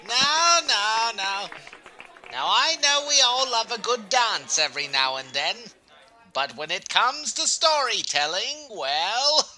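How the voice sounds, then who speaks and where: Folksy old farm yarn-spinner, very animated, slow, drawn-out, theatrical relish. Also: male voice, sits high, heavily acted, rough recording. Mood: jovial. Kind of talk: audio drama acting.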